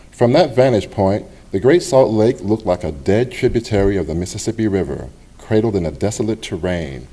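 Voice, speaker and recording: A middle-aged man reading his personal essay aloud at a public library event, in a measured, expressive way with pauses. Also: low voice.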